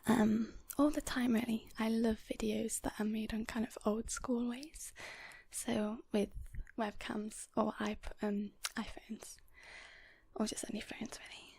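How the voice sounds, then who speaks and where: A woman whispering in a casual ASMR chat, gently rambling and unhurried, as host of her own video. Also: on the high side, gravelly, husky, no echo. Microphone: portable handheld audio recorder. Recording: close whispered recording on portable recorder.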